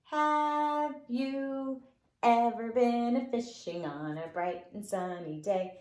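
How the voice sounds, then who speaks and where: High, sing-song woman teaching nursery circle time. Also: slow pace, a little mellow. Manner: sing-song, playful, encouraging. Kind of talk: children's circle time with finger plays, rhymes and singing. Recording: roomy, echoing indoor sound.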